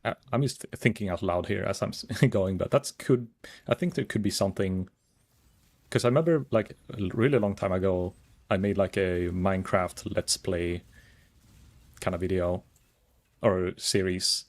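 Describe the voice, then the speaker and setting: A calm, soft-spoken man chatting casually while streaming a game, rambling and thinking aloud with many fillers. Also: low voice, normal speed. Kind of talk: casual gaming stream chat.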